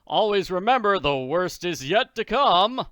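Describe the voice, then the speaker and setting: Bluff middle-aged tycoon, read by a man; hearty and teasing, bright clear voice. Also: a little high, very animated, measured pace, no room sound, studio quality, exaggerated voice.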